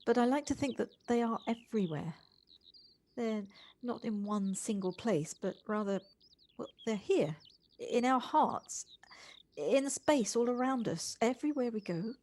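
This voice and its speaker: A woman playing a gentle, wistful city dweller in an audio drama, speaking in a halting, hesitant way. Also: on the high side, lively intonation. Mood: gentle, consoling. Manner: halting, hesitant, repeats words. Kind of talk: naturalistic audio drama acting.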